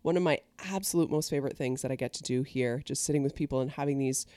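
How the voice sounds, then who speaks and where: A middle-aged woman, an ordained minister, hosting a podcast: a scripted welcome, then animated, laughing chat. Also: extremely low pitch, fast, dry recording. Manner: animated storyteller who laughs often.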